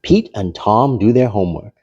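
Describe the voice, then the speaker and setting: A man narrating a children's storybook aloud in an animated, playful, deliberate way. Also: on the low side, slightly rough, no echo, lo-fi sound.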